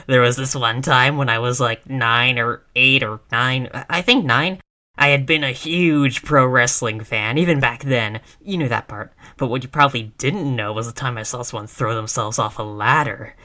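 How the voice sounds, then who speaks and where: A woman's voice: a bass voice, moderate pace, pushed and bright. A woman playing a tough, reckless girlfriend in a roleplay audio, brash but affectionate, with casual, sweary bravado. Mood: boastful, casual. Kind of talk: intimate roleplay audio acting.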